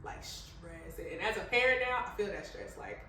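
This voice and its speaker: A woman's voice: fairly low pitch, normal speed. Casual American woman podcast host, informal storyteller, echo-heavy room, set back from the mic. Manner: animated retelling, acting out quoted dialogue; informal, swears freely. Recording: very echoey room, distant mic, faint noise.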